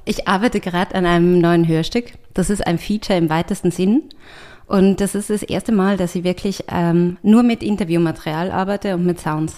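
An Austrian German woman talks as a podcast guest in a slightly low voice. She thinks aloud and reaches for her words as she answers. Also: fairly even intonation, moderate pace, a little mellow. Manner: thoughtful, searching for words, with restarts. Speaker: writer and artist, guest. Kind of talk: conversational interview answers.

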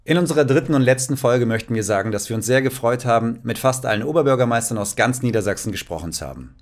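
A man's voice: medium pitch, animated, fast. A man hosting a podcast, reading scripted introductions and putting questions to his guests. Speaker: podcast host.

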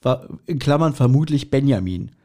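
A man's voice: mid-range voice, expressive, normal speed, mellow. A man of around forty co-hosting a podcast in casual chat, telling stories in a teasing, mock-indignant way. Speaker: podcast co-host.

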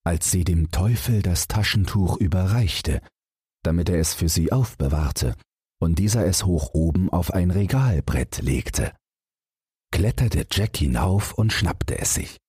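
Low breathy guy reading a bedtime fairy tale, really slow, dramatic audiobook narrator. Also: expressive, dark tone, no echo, clean studio sound. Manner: measured, dramatic storytelling.

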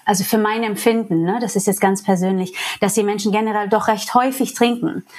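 Brief: New York-born woman, English native, talking in German, husky tone, candid and reflective, quick to laugh. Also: mid-range voice, fairly even intonation, a little mellow. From New York, USA. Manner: frank, reflective, laughs easily. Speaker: interview guest. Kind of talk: candid personal interview.

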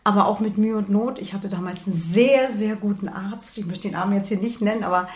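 A woman in her sixties, born in Berlin, recounts her life in a calm, matter-of-fact way. Her voice sits in a middle range. The room gives it a noticeable echo. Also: mid-range voice, brisk. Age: 60s. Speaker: guest, author and retired nurse. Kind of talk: personal life story in conversation. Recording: roomy echo.

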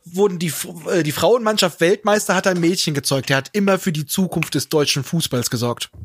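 A man banters casually on a podcast in a joking way. His voice sits slightly high and has a bright, forceful edge. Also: a little fast, breathy edge. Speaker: podcast speaker. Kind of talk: casual group chat.

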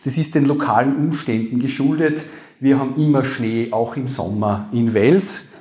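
A man with an Upper Austrian accent, a lawyer speaking live to an audience, making dry joking asides that trail off low. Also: normal speed, a little mellow. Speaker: lawyer and comedy panelist. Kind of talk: live stage talk with jokes. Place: university hall before a live audience. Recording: echoey room.

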